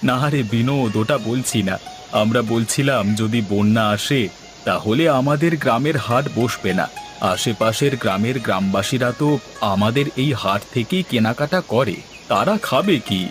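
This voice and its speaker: A man's voice: mid-range voice, animated, moderate pace, natural voice. A man voicing a caring village market trader in a cartoon, speaking dialogue in an earnest, folksy, advising manner over music. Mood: worried. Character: caring village market trader, husband, elder-brother figure.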